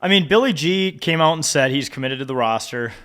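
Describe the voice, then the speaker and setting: A man's voice: fairly high pitch, animated, fairly quick, fairly bright tone, smooth. A man co-hosting a sports podcast, bantering casually with plenty of swearing and joking. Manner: profane, joking.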